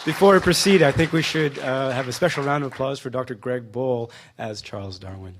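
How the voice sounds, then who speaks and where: A man's voice: mid-range voice, fairly quick, a loud crowd, very noisy. A male moderator running a lecture Q&A in a casual way with lots of fillers. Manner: casual, filler-heavy, friendly.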